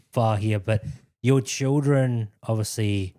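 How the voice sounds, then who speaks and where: A man's voice: mid-range voice, slow, dark tone. An Australian man hosting a podcast, asking questions in an informal, bantering, chatty way. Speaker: podcast host. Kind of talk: casual chat, interviewing.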